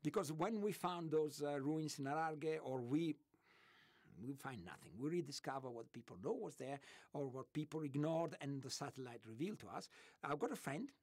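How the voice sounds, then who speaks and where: A man's voice: fairly high pitch, moderate pace, a little mellow, no room sound. A man from Italy with an Italian accent, a guest on an interview show, talking enthusiastically and digressively, often breaking into laughter. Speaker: guest, remote sensing archaeology expert. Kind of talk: interview answers.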